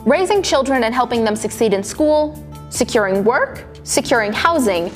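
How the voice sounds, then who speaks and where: A woman's voice: fairly high pitch, expressive, normal speed, faint music behind. A woman reading scripted documentary narration.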